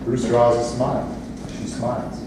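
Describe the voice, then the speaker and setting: A distant, noisy recording in a very echoey space. A man with a mid-pitched voice narrates the stage directions of a play reading. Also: little pitch movement, normal speed.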